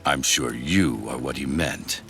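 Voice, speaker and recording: Casting: menacing elderly occult patriarch, bottomless gritty bass with a forceful edge, deliberate game-cutscene delivery. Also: male voice, heavily acted. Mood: coaxing, sinister. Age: older. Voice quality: very deep, gravelly. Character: sinister old patriarch of an occult family cult. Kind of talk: dramatic video game cutscene acting. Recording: music under the voice.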